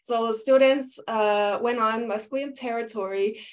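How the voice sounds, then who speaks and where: A woman's voice: on the high side, fairly even intonation. Woman giving an academic talk over video call. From Canada. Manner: measured, with frequent ums and uhs. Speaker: film scholar presenting a conference paper.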